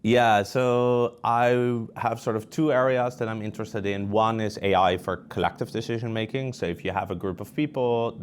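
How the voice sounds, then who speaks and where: A man's voice: lowish voice, moderate pace, hardly any pauses. A man with a Dutch accent, a researcher giving conversational, explanatory answers as an interview guest. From Netherlands.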